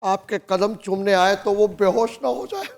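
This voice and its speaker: A man with a hoarse throat, trading banter and telling jokes on a comedy talk show in a studio. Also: high voice, steady tone, unhurried. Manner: keeps pushing jokes over protests.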